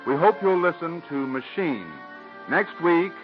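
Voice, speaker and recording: A man, the program's director, reading an earnest, measured preview of next week's play. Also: on the high side, lively intonation. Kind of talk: scripted radio announcement. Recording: dull old radio broadcast with music.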